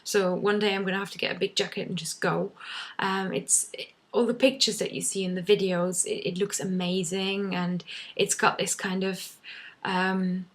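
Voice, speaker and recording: A woman's voice: mid-range voice, level tone, moderate pace. A young woman whose first language is German, talking casually to camera in a rambling way, full of ums and restarts. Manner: chatty, many ums and restarts. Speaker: vlogger.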